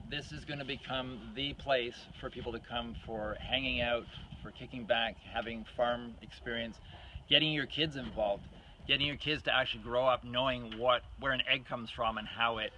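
Middle-aged man with a bright, forceful voice. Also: medium pitch, poor audio. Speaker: farm owner appealing for donations. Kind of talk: informal explainer and fundraising appeal to camera. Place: outdoors on a farm beside a wooden barn. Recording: outdoor camera audio with faint noise.

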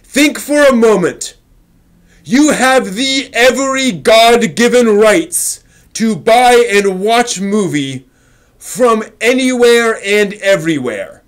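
A middle-aged man giving a theatrical dramatic reading, with impassioned, overwrought emphasis and drawn-out words. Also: high voice, animated. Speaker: host reading an old forum post aloud. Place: small home room with shelves, indoors.

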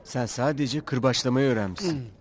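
An actor playing a young, virtuous servant in an animated film, earnest and restrained. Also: male voice, medium pitch, normal speed, a little hiss or hum, lightly acted. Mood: calm, firm. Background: music.

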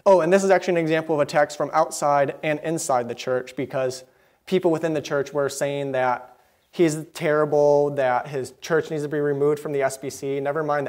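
A young man delivers a sermon from a church pulpit, his voice sitting a little high for a man. He speaks earnestly into the pulpit microphone, slipping in quick asides with a mildly indignant edge. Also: darkish tone. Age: young adult. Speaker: preacher. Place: church sanctuary, behind a wooden pulpit.

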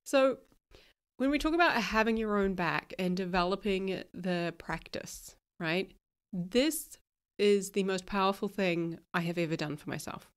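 A woman's voice: medium pitch, a little slow, clear, resonant tone. A woman with a New Zealand accent hosting a podcast, still a little nasal from a cold. She talks frankly and casually, swears now and then and laughs often. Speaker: podcast host.

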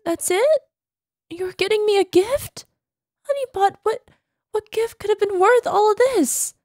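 A woman's voice: very high-pitched, measured pace, darkish tone, dry recording, studio quality. A woman voicing an obsessive, unstable yandere girlfriend in a dramatic ASMR roleplay, sweet and intense with cloying pet names. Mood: bewildered.

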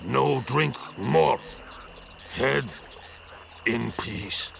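An actor voicing a big, dim-witted male troll in a video game, with gravelly, halting, broken speech. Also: mid-range voice, fairly bright tone, a little hiss or hum, heavily acted. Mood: mournful, gruff.

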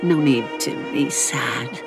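A woman's voice: very deep voice, slow pace. An actress playing an elderly, tender mother in a video game, soothing and consoling in manner. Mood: gently consoling. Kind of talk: scripted video game dialogue. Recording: loud music under the voice.

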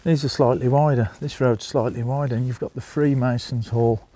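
A man's voice: medium pitch, fairly even intonation, moderate pace, mellow. Chatty man with a Birmingham accent. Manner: chatty, addresses viewers as folks. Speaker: vlogger. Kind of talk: walking tour narration. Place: outdoors, walking a small seaside town.

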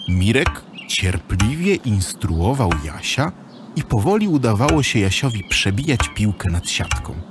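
Lively low-voiced male storyteller. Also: a little slow, over music. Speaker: narrator. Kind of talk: scripted storybook narration for children's audio drama.

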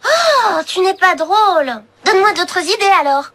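A woman's voice: extremely high pitch, very animated, unhurried, sharp tone, slight background noise, exaggerated voice. A woman playing a sensible, persistent young schoolgirl in a cartoon, earnest and a little exasperated. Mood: wry. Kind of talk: French cartoon dub acting.

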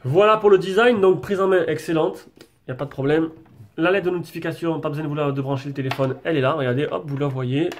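A French man with a cold talks through a stuffed nose as he reviews a phone off camera, his voice pitched a little high. He chats loosely and often stalls on fillers and restarts. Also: fast. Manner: chatty, hesitant, many fillers and restarts. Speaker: tech reviewer. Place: desk setup with a branded backdrop, indoors.

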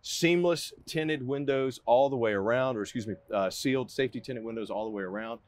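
A man's voice: medium pitch, a little fast. A man in his forties giving an upbeat, chatty walkthrough as an RV salesman, inside a trailer and then out on a dealer lot. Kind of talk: casual product walkthrough.

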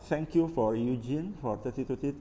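A man's voice: medium pitch, moderate pace, mellow. A man with an Indonesian accent giving a casual, unscripted hands-on overview as a hobbyist maker. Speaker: maker presenting his DIY electronics build. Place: indoors at a wooden table, hands-only camera view. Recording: reverberant room with background noise.

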